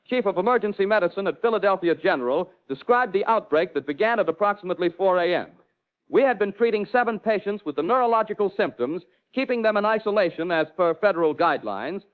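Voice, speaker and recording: An actor playing an urgent 1940s radio reporter, formally relaying grim accounts. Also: male voice, high-pitched. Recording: tinny, phone-like vintage radio line.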